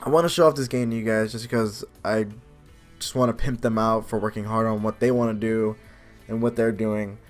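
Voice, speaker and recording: A man's voice: on the low side, steady tone, a little fast. Young man gaming host, casual, music behind. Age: young adult. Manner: rambling, self-deprecating. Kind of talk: casual let's play commentary and reading aloud. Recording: faint game music behind voice. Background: game music.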